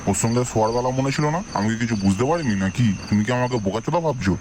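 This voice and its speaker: A man's voice: medium pitch, animated, very fast, noisy background, close to an everyday voice. A man voicing a jealous, foul-mouthed Bengali husband in a comedy cartoon, scolding with sarcasm and menace. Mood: furious.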